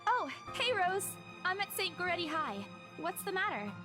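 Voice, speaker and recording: A woman voicing an earnest, superstitious young real estate agent in a game, expressive and cautious. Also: very high-pitched, normal speed, over music. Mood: breezy, reassuring. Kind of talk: visual novel game voice acting.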